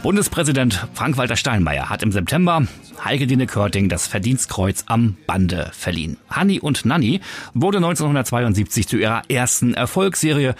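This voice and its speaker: A man's voice: medium pitch, sing-song, moderate pace. A man presenting on radio, reading scripted narration and putting questions as an interviewer.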